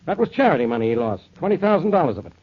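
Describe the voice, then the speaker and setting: Terse police inspector, dull old radio. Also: male voice, on the high side, sing-song, racing, slightly rough. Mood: grave, troubled. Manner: terse, authoritative, weighty. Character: gruff, troubled male police inspector. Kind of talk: 1940s radio drama acting. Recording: dull, noisy 1940s radio recording.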